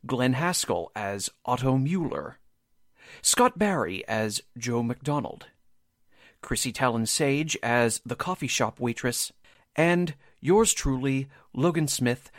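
Animated male radio-drama announcer, dry sound. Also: medium pitch, takes it slowly, no echo. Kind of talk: scripted announcer reading.

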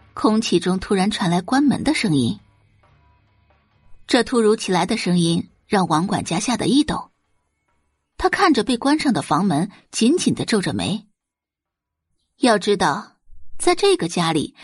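A woman narrates an audio drama from a script. Her delivery is expressive, with a widely moving pitch and a slightly husky tone, recorded very dry. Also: moderate pace, long pauses, no room sound, soft background music.

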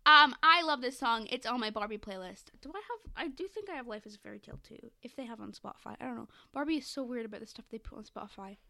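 A woman's voice: fairly high pitch, lively intonation, quick pace, vocal fry, hardly any pauses, sharp tone, dry recording. A young woman co-hosting a podcast, chatting casually about movies in a playful, enthusiastic manner. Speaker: podcast co-host. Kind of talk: casual movie discussion chat.

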